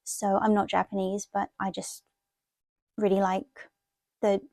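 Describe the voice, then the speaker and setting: A woman's voice: mid-range voice, completely flat delivery, measured pace, warm tone, no room sound. Chatty woman in a close, dry recording. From half Thai, half Italian heritage. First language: Thai. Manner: chatty, rambling, informal. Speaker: planner and journaling vlogger. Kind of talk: casual show-and-tell voice-over.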